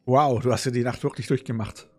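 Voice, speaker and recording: A man's voice: medium pitch, steady tone, quick pace, darkish tone, breathy edge. A man doing casual game commentary, muttering playful asides and reading dialogue aloud. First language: German. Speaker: gamer playing and commenting. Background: faint game music.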